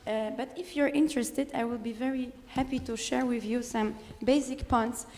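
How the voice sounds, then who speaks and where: A woman from the audience, speaking English as a philosopher at a conference, direct and insistent, often pausing with fillers. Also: a little high, steady tone, darkish tone, in a large hall, slight background noise. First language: Polish. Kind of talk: conference floor remarks. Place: conference hall.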